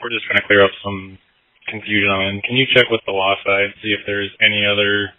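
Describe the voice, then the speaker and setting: Quick low-voiced man on tinny radio. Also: level tone, dry recording. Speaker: emergency medical incident commander. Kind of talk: quick procedural radio exchanges. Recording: tinny narrowband emergency radio transmission.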